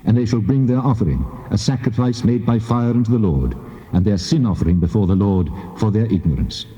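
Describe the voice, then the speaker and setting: An actor playing God as a stern, solemn deity, speaking in a measured, grave, declamatory way in a dramatized scripture recording. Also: male voice, lowish voice, lively intonation, warm tone, natural voice. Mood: solemn, instructing. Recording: dull tape with long hall reverb.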